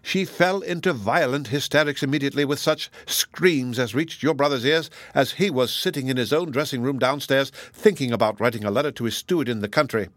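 A man's voice: medium pitch, a little fast, few pauses, a bit bright, dry recording, lightly acted. A man voicing a chatty, good-hearted older matron in an audiobook, gossiping in a rambling, exclamatory and indignant way. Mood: excited, pitying. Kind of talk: audiobook character dialogue.

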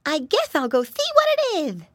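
A woman reading a storybook aloud, voicing an eager little boy piglet who talks in a squeaky, excited way about food. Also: moderate pace, no room sound, clean studio sound.

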